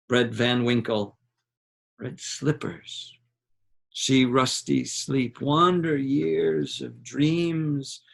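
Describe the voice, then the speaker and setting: A man reads literary fiction aloud at a slow, measured pace. His mid-pitched voice has a gravelly creak, and he sets short phrases apart with deliberate pauses. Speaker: reader of a literary novel. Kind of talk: dramatic literary reading aloud.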